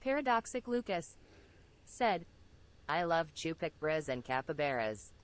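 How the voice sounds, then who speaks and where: A synthetic woman's voice reading viewers' chat messages aloud on a stream, clipped and even. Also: deep voice, measured pace. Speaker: text-to-speech chat reader.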